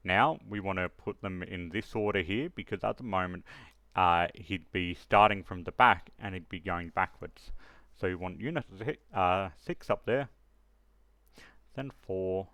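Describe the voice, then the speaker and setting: Male tutorial presenter, lowish pitch, informal step-by-step explainer delivery. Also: a little slow, bright, forceful tone, no echo. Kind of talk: casual screen-recorded software tutorial narration.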